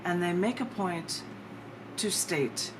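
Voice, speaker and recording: Low-voiced woman poet, noisy recording. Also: lively intonation, slow pace. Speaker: poet reading own work. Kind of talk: live poetry reading with chatty introductions.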